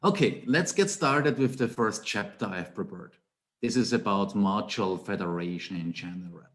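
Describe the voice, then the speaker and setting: A man's voice: lowish voice, lively intonation, normal speed, fairly bright tone. A middle-aged man from Austria with an Austrian German accent, giving a technical conference talk over a webcam call in a small home office, explaining with rhetorical questions and emphatic repetition. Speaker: conference speaker, Angular trainer.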